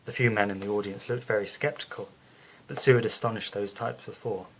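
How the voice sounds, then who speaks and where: A man narrating a scripted bedtime story as the storyteller, in a measured, descriptive way. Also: on the low side, fairly even intonation, a bit bright, low-quality recording.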